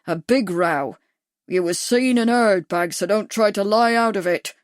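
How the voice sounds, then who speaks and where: A woman's voice: mid-range voice, normal speed, no echo, clean studio sound, natural voice. A woman voicing a burly, menacing man with a thick accent who snarls and growls threats.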